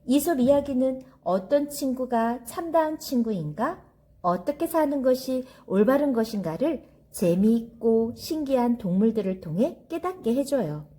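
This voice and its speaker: A woman storyteller reads for children with a high, lively voice that swings widely in pitch. Her tone is soft-edged and mellow, and she explains things like a teacher speaking straight to her young listeners. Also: normal speed. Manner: didactic, addressing children directly. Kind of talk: children's story reading with commentary.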